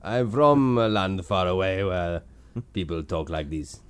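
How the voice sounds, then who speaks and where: A man plays a crude, swaggering foreign temp on a comedy podcast. His put-on accent never settles in one place. The voice sits a touch low, and the delivery is theatrical and boastful. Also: expressive, measured pace, smooth. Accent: put-on foreign accent that keeps shifting. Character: pompous foreign male temp with a wandering accent. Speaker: guest character on a comedy podcast.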